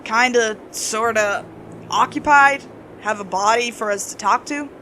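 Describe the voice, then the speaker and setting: A woman's voice: fairly high pitch, unhurried, sharp tone, slight background noise. A woman acting a reluctant, sarcastic, spooked young ghost hunter in a horror story, exasperated in manner.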